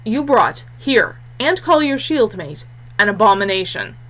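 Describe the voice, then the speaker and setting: A woman's voice: medium pitch, very animated, unhurried, fairly bright tone, rough recording. A woman voicing a cold, imperious ghostly male chief of a fort in a fantasy audiobook. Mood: contemptuous. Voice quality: oddly thin, resonant, clear.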